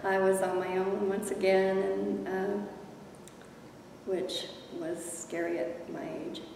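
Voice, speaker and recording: A woman's voice: medium pitch, little pitch movement, takes it slowly, vocal fry. A woman in her sixties recalling hard times in a reflective, hesitant way. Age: 60s. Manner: reflective, hesitant, lots of fillers. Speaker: senior housing resident. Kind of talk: interview testimony. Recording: hall-like reverb, distant mic, noise.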